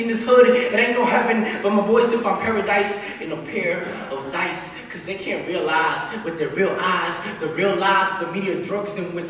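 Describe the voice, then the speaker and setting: Echoey, high-pitched young man performing spoken word. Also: a bit bright. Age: early 20s. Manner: emphatic, rhythmic delivery with dramatic swells. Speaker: student spoken word poet. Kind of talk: spoken word poetry performance at a live reading. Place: event room at a live reading. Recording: very echoey hall, distant mic, faint noise.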